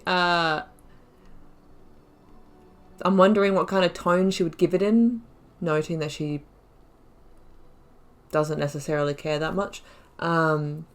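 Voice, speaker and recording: A woman hosting a playthrough with casual commentary, reflectively thinking aloud as she goes. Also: fairly low pitch. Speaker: gaming channel host. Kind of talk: casual let's play commentary.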